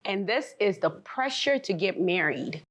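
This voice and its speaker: A woman hosting a casual panel discussion, speaking quickly and finishing her guests' sentences. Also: medium pitch, animated, fairly bright tone. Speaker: talk show host.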